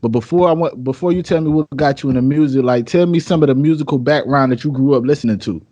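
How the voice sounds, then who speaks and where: A man's voice: mid-range voice, fast, mellow. An American man hosting a podcast interview in casual, slangy talk, informal and given to repeating his phrases. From United States.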